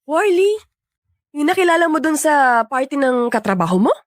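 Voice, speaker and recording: A protective, skeptical young sister in a radio drama. She is blunt and probing, her voice somewhat high, recorded dry and close. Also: big pitch swings, moderate pace, no echo. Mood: curious, wary. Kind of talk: Filipino radio romance drama acting.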